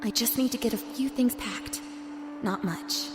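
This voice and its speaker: A woman playing an anxious young twin sister in an audio drama, halting and pleading as she speaks. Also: a little high, noisy background, natural voice. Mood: excited, urgent. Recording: echoey reverberant hall, loud music underneath.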